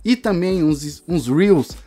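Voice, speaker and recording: A man's voice: fairly high pitch, expressive, slow pace, mellow. A man, giving a casual product review to camera. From Brazil. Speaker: tech reviewer. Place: small home studio room.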